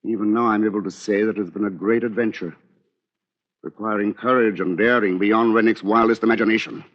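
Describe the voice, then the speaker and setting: An actor playing a coldly arrogant solicitor in a vintage radio thriller, formal, articulate and sardonic, on an old radio recording. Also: male voice, on the low side, fairly even intonation, a little fast, dull audio, lightly acted. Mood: cold, proud. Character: coldly arrogant English solicitor confessing to murder. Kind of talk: 1940s radio thriller acting.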